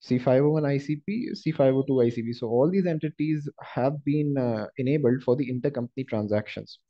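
A man narrates a software lesson in a dull, lo-fi recording. His voice sits at an average pitch. He explains each step in a halting, instructive way, as a trainer sharing his screen. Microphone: screen-recording voice-over.

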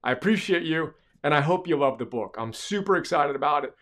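Upbeat middle-aged man, high voice. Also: fairly quick. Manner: upbeat, energetic, gesturing while talking. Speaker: author and host. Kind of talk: reading a book excerpt, then promoting it. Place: dark studio with portrait wall.

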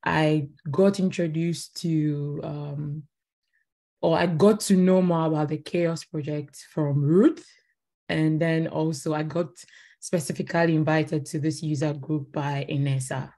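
Low, mellow young woman from Ghana. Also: fairly even intonation, a little slow. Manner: cheerful, giggly, some hesitation. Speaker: graduate student. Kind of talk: casual video call introduction.

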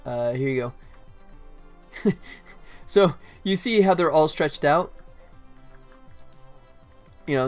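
A man in his forties, a game developer, casually narrating his work on a livestream, often muttering to himself. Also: medium pitch. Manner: muttering to himself in short bits. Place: small indoor room at a computer. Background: faint music, keyboard typing.

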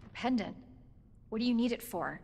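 A woman's voice: fairly high pitch, lively intonation, normal speed, gravelly, a little mellow, slightly rough, full character voice. A young woman playing a determined, protective survivor in a video game, urgent and full of anxious questions. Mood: tense defiance. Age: young adult. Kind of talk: video game cutscene acting.

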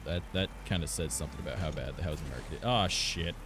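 A young man from Canada with a raspy voice, chatting casually and rambling as a streamer, filling his talk with "like" and "um". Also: a bass voice, fast talker, noisy background. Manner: rambling, frequent "like" and "um". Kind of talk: casual chat while gaming. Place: home recording studio.

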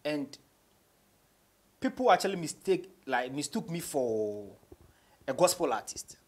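A man's voice: fairly high pitch, big pitch swings, measured pace. A man in his thirties with a Ghanaian accent, answering casually as a rapper being interviewed, slipping in local phrases. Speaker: guest rapper. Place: small studio office, indoors.

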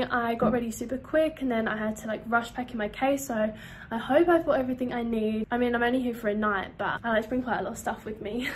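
A woman's voice: fairly high pitch, slight background noise. Chatty young woman vlogging to her phone. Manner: chatty and upbeat, many fillers like "um" and "like". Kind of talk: casual vlog chat to camera. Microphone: phone camera held close.

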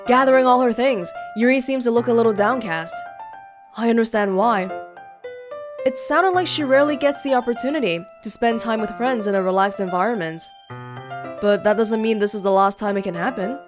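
A woman's voice: a little high, a little fast. A young adult woman reading a game aloud and adding casual commentary as a let's play host. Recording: game music behind the voice.

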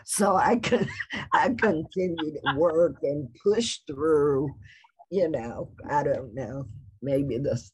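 Raspy woman, very slow, middle-aged, video call. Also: low voice, rough recording. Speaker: conference presenter. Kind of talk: Q&A after a talk. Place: office.

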